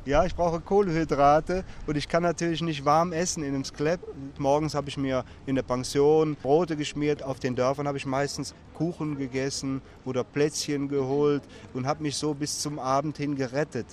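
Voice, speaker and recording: A man's voice: fairly high pitch, little pitch movement, normal speed, hardly any pauses, darkish tone, slight background noise. A man being interviewed outdoors at a summer festival, telling stories in a chatty way with frequent fillers. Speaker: interviewee, long-distance walker. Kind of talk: casual storytelling in an interview.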